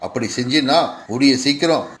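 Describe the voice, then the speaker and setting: A man's voice: medium pitch, moderate pace, natural voice. A man voicing a bullying, scornful male crow in a children's cartoon, with a taunting, bossy manner. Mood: smug, mocking.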